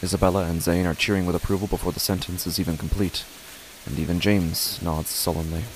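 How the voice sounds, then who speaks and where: A man playing an uneasy father who narrates his own horror story in a deliberate, measured way with dramatic pauses. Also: low voice, little pitch movement, a little hiss or hum, natural voice, low-quality recording. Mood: grave, uneasy. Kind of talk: scripted first-person horror narration.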